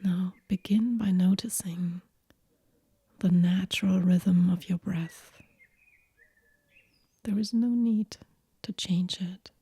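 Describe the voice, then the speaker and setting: A woman guiding a yoga nidra meditation in a hushed, breathy near-whisper, gentle and soothing, with long pauses between phrases. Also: lowish voice, steady tone, no room sound. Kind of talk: guided relaxation meditation, soft and whispery.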